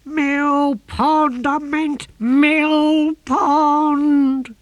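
Tiny tortoise deity: high, strident, irascible, indignant comic fantasy storybook character. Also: male voice, flat delivery, extremely slow, no echo, studio quality, exaggerated voice. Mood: flustered. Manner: shrieking, indignant outbursts. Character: irascible small male tortoise god, shrill and panicky.

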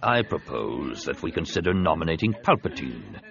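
A man voicing a big, scheming alien senator in an audiobook, with measured, persuasive delivery. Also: a bass voice, sing-song, creaky, a bit bright, dull audio, heavily acted. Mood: firm, calculating. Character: scheming, soothing, heavyset male alien senator and host.

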